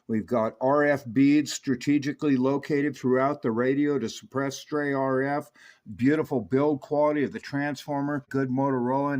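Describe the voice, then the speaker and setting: A man's voice: medium pitch, a little mellow. A man narrating a technical review and repair guide in an emphatic, opinionated, folksy way. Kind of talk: explanatory voice-over for a technical review.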